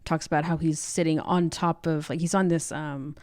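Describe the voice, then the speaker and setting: Low-pitched woman in casual discussion. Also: steady tone, normal speed, a little mellow, dry recording, studio quality. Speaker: podcast co-host.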